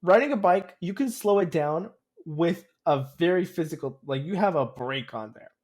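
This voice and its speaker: A man's voice: on the high side, expressive, normal speed, darkish tone. A young man co-hosting a podcast in casual chat, often repeating himself as he tells anecdotes. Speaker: podcast co-host.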